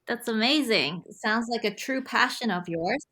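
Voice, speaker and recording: A woman's voice: fairly high pitch, lively intonation, moderate pace, sharp tone. A woman hosting an academic podcast, introducing her guest and asking friendly, encouraging questions. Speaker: podcast host. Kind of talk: scripted intro then interview questions.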